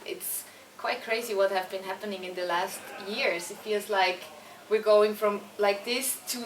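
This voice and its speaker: A young woman speaking English with a Swiss German accent, relaxed and chatty, full of fillers and quick restarts. She sounds a bit distant from the mic, in an echoing room with a faint hum of ambience around her. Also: medium pitch. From Switzerland. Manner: chatty, many fillers and restarts, laughs easily. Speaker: band singer, interview guest. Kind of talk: casual interview answers. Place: concert venue before a show.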